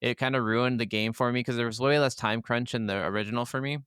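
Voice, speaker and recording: A man's voice: medium pitch, fairly even intonation, quick pace, a bit bright, clean tone, no echo, studio quality. A man co-hosting a gaming podcast, talking casually with emphatic, opinionated, profane delivery. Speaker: podcast co-host.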